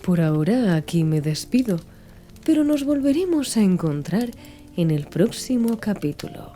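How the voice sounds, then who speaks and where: A woman narrating a literary audiobook from a script, with measured, deliberate phrasing. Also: medium pitch, sing-song, warm tone. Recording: music behind the voice. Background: music.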